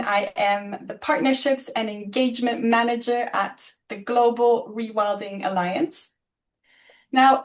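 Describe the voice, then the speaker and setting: A woman hosting an online webinar on a video call, introducing the speakers in a friendly, slightly halting way and laughing at her own slips. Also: fairly high pitch. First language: not German. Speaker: webinar host.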